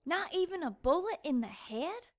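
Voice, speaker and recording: An actress playing a skeptical, unsettled woman companion to an investigator, speaking deliberately in an audio drama. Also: very high voice, sing-song. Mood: disbelieving.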